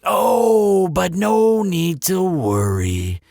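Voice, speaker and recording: Demon front-desk clerk for an audio roleplay, male actor: lilting, creaky delivery, courteous salesman with a sly, coaxing streak. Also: sits high, lively intonation, darkish tone, dry recording, clean studio sound, heavily acted. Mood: coaxing, reassuring. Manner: unhurried, polite, coaxing salesmanship. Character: smooth-talking male demon hotel receptionist, courteous and slyly persuasive. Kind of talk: scripted audio roleplay addressed to the listener.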